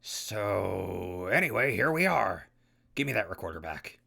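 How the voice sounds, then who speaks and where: A man's voice: low-pitched, sing-song, measured pace, vocal fry, sharp tone, exaggerated voice. A man playing a brusque, wry ghoul wastelander in an audio drama, talking in an offhand way.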